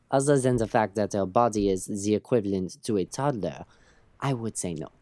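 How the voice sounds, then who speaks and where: Actress as calm ship's doctor, extremely deep for a woman, clinical, measured, close dry sound, audio drama. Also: dry recording. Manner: measured, hesitant word-searching.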